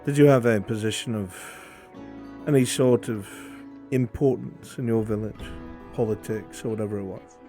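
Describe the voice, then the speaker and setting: A man playing a solemn, philosophical imperial in a fantasy roleplay, speaking in a measured, brooding way with deliberate pauses. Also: on the low side, dark tone, lightly acted. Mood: probing, polite. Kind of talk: improvised fantasy roleplay acting. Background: background music.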